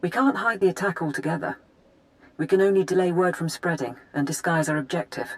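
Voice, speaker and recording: Practical female intelligence officer, played by a woman: deep, fry-edged, matter-of-fact game dialogue. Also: fairly quick, natural voice, rough recording. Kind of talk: video game dialogue acting.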